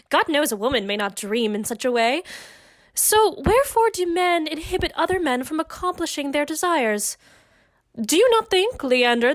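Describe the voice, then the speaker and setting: A woman plays a spirited noblewoman in a period audio drama. Her voice is fairly high. She speaks formally and with poise, never shy of her opinion. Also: fairly bright tone. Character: spirited, outspoken noblewoman, the captain's wife.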